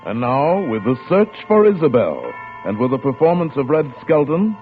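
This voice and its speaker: A man introducing a 1940s radio suspense series in a dramatic, deliberate announcer's style. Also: mid-range voice, wildly swooping pitch. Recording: vintage radio, narrow band, loud music.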